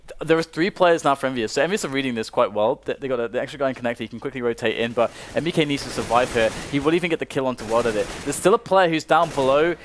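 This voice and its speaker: A man casting a competitive video game match from a booth, calling the action quickly and rising sharply at big plays. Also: medium pitch. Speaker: esports caster. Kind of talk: play-by-play commentary.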